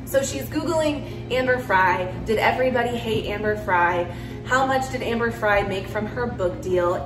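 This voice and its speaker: Woman podcaster in an echoey room. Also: medium pitch. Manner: casual, opinionated asides. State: slightly sick, starting to lose her voice. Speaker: true crime podcast host. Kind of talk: conversational true crime storytelling. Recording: roomy echo, distant mic, music behind. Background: music.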